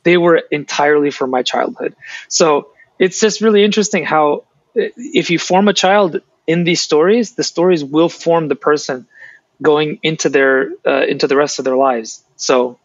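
A man's voice: fairly high pitch, normal speed, dry recording. A man from San Francisco whose first language was Russian, chatting as an interview guest in a rambling way. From San Francisco, United States. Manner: chatty, rambling, restarts sentences. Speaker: guest author and translator. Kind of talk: casual interview talk. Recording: dry, dull lo-fi sound.

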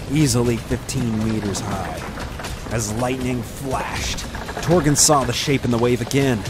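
A man narrating a scripted adventure story in an intense, dramatic storytelling manner. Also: medium pitch.

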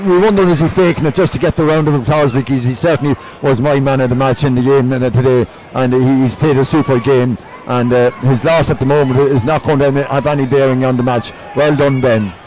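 A man's voice: medium pitch, steady tone, fairly quick, hardly any pauses, darkish tone, low-quality recording. An Irish man giving conversational analysis as co-commentator at a live Gaelic football match. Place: outdoor stadium stand. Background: light crowd noise.

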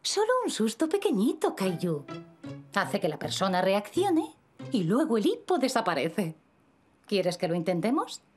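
A woman voicing a gentle, teasing young cartoon mum with a Castilian accent, soothing and playful, in a children's dub. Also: mid-range voice, big pitch swings, unhurried, faint music behind. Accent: Castilian Spanish.

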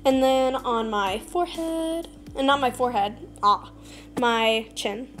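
A teenage girl with a slightly scratchy, fairly high voice talks through her makeup routine in a small bedroom. She rambles, jokes at her own expense and lets her words trail off into mumbles. The built-in camera mic sits at arm's length, with faint music in the background. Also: a little slow, fairly bright tone. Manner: rambling, self-mocking, drifts to a mumble. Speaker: beauty vlogger. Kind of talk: casual makeup tutorial chat. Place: small bedroom by a curtained window.

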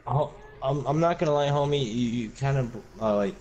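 A man's voice: mid-range voice, a little fast, darkish tone, lo-fi sound, a little hiss or hum. A man chatting casually while he plays an online match, laughing, stammering and trash-talking with teammates. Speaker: gamer streaming online matches. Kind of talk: casual gaming chat.

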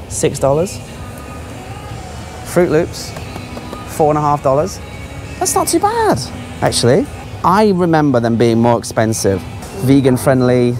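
Chatty man vlogging over background music. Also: mid-range voice. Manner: chatty, exclaiming, offhand. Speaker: travel vlogger. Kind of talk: casual running vlog commentary. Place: large supermarket aisles.

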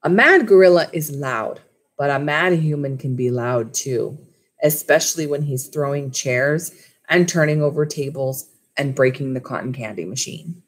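A woman's voice: low-pitched, normal speed, slightly rough. A woman in her thirties reading a children's book aloud as a school librarian, with casual asides to the listeners. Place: small wood-panelled room at home. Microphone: webcam or laptop at desk distance.

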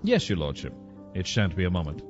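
A man acts out a formal, deferential solicitor addressing his lord in an audio drama. His low voice swings in pitch while staying measured and respectful. The sound is dull and lo-fi, with music underneath. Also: huge pitch swings. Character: deferential male solicitor attending an English lord.

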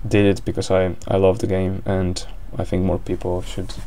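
A man's voice: low voice, monotone, moderate pace, mellow. A man with an Italian accent commentating a board game live, hesitant, with many fillers and restarts.

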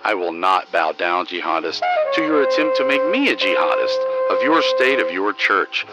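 A man hosting, reading scripted material in a declamatory, deliberate way. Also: deep voice, very animated, loud music behind the voice. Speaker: host. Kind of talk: scripted news narration and poem reading.

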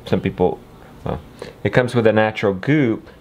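A man's voice: lowish voice, moderate pace, slight background noise. A man in his thirties talking casually and encouragingly, a folksy father vlogging through farm chores. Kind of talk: casual vlog talk while doing farm chores.

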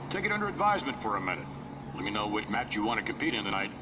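Formal American boss, very noisy. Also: male voice, lowish voice, very animated. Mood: businesslike. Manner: formal, measured, businesslike. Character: formal, authoritative middle-aged wrestling company boss. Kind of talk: scripted video game cutscene acting. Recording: heavily noisy, distant game capture.

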